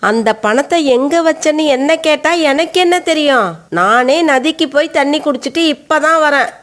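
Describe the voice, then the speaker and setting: A woman's voice: high-pitched, moderate pace, a bit bright. A woman voicing a careless, defiant mother crow in a cartoon story, with an indignant, dismissive manner. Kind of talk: Tamil animated moral story acting.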